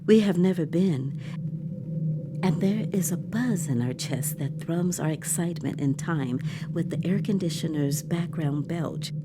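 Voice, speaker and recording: A woman acting the uneasy, eerily giddy narrator of a dystopian tale in measured, dramatic, sometimes breathy narration. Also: on the low side, very noisy, close to an everyday voice. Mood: uneasy, solemn. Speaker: actor narrating a dystopian story.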